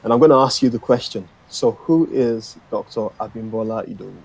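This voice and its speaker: A middle-aged man co-hosting a live author interview over a video call, speaking haltingly and repeating words as he goes. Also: on the low side, creaky, darkish tone, low-quality recording. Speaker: co-host of an author interview show. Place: indoor room at home, video call. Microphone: video-call microphone.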